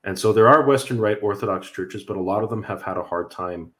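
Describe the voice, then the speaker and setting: A man's voice: lowish voice, a little fast, a bit bright. A young Canadian man, an Orthodox priest, answering viewer questions in an earnest, explanatory way over a video call from a room at home. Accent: Canadian English. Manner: earnest, explanatory, with frequent fillers and light laughs. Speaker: Orthodox priest hosting a livestream. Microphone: video call webcam.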